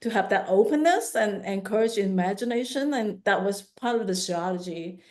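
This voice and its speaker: A woman's voice: medium pitch, expressive, moderate pace, a little mellow. A woman in her fifties from Hong Kong answering questions in a conversational artist talk, thinking aloud and correcting herself as she goes. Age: 50s. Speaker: visual artist giving an artist talk.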